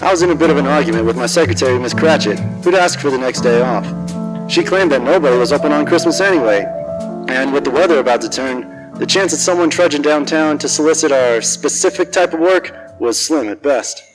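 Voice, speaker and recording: A man's voice: fairly high pitch, a little fast, lightly acted, poor audio. A man playing a grumpy, world-weary private detective in a hardboiled 1940s radio drama, wisecracking in slang, with music behind him. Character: cynical, grumpy, hard-drinking private detective. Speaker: actor narrating as lead detective.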